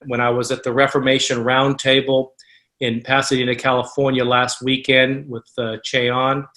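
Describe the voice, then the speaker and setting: A man's voice: medium pitch, little pitch movement, moderate pace, pushed and bright. A man hosting a ministry call, introducing speakers in an earnest, rambling way. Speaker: host.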